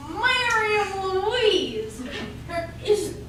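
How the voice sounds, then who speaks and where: Bright, slow-talking flirty classmate, acted by a woman. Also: extremely high pitch, very animated, full character voice. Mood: teasing. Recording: very echoey room, distant mic, faint noise.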